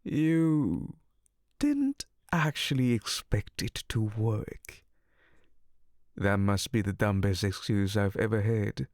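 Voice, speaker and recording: A man's voice: lowish voice, animated, slow, darkish tone, dry recording, clean studio sound. A man voicing an exasperated, sardonic male incubus demon in an ASMR audio roleplay, theatrical and sarcastic.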